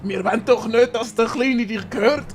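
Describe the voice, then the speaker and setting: A woman's voice: a little high, normal speed, lightly acted. A woman voicing a sly, fawning male henchman of a villain in a children's audiobook. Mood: gloating. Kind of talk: dramatised German-language children's audiobook.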